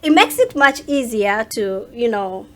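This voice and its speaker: Casting: woman with a Ugandan accent and a high voice, conversational interview guest who explains in an animated way. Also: a little slow. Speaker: interview guest, social media content creator. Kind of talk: casual interview chat.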